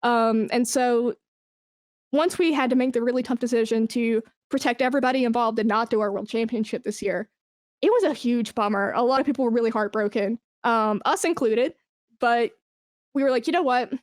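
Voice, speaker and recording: Effusive high-voiced woman in casual interview. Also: fairly quick, no echo, studio quality. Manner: effusive, emphatic, informal. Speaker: podcast guest, esports brand manager.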